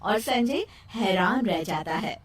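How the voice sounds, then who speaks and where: A woman's voice: mid-range voice, expressive, takes it slowly, recorded from a distance, very noisy. A woman narrating a scripted storybook tale.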